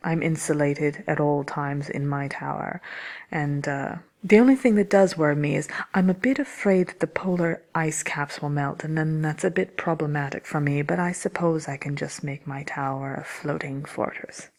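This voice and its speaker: Lady doing a wicked witch asmr host, haughty n sly, low creaky voice, drawls soft and whispery. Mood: disdainful, sly. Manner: slow, deliberate, drawling, with whispery trailing phrases. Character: wicked witch, deviously gloating, haughty, fond of scaring children. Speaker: character host answering listener questions. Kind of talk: ASMR, soft-spoken in-character question and answer.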